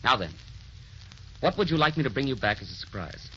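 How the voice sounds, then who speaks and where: An actor playing a glib, evasive young gentleman, breezy but hesitant, in old-time radio drama style. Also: medium pitch, sing-song, brisk. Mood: falsely cheerful. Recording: dull, noisy old radio recording.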